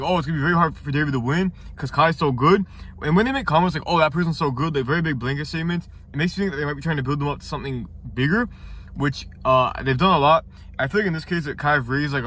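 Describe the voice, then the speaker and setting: Young man, nasal and congested, fast rambling talk, slightly high voice, faint hiss behind. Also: a bit bright. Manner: rambling, many restarts and fillers. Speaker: ninja competitor reviewing episodes. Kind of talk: casual commentary review.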